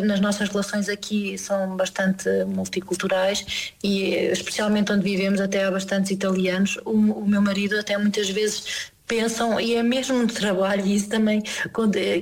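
A woman's voice: medium pitch, low-quality recording. Hesitant woman, faint background noise. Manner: measured, hesitant with many fillers. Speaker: interview guest living in Germany. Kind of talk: casual conversational interview.

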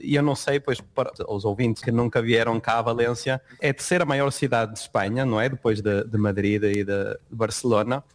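A man from Portugal, a university lecturer answering interview questions in a relaxed, conversational way with frequent restarts. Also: mid-range voice, a little fast, hardly any pauses. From northern Portugal. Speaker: guest, university lecturer living abroad.

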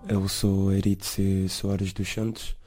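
Soft-spoken young man from São Tomé. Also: low voice, monotone, faint music behind. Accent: São Tomé Portuguese. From São Tomé and Príncipe. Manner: halting, laid-back, trails off softly at phrase ends. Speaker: interviewee. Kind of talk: casual interview answers.

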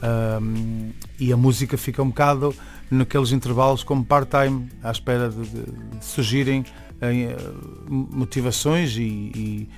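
Brief: young man, Venezuelan-tinged Beira accent, halting guest answers with fillers, faint music under. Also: mid-range voice, fairly even intonation. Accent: Venezuelan-tinged Beira Portuguese. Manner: hesitant, many restarts and fillers. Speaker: musician and barber, interview guest. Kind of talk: casual interview talk.

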